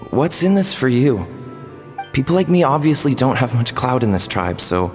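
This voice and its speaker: A man's voice: mid-range voice, sing-song, normal speed, natural voice. A man voicing a hesitant, earnest young tribesman of little standing in a video game. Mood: uncertain. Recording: long echo, music behind.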